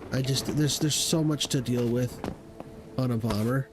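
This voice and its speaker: A man's voice: medium pitch, normal speed, music in the background. A man chatting casually through a game stream, sniffly from hay fever and rambling as he plays. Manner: rambling, talks to himself while playing. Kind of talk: casual gameplay commentary.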